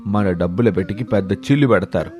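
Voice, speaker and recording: Cartoon husband played by a man: low voice, dramatic, panicky about money, faint music behind. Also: fast, darkish tone, lightly acted. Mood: alarmed. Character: alarmed husband fretting over greedy visiting relatives. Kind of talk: animated moral story dialogue.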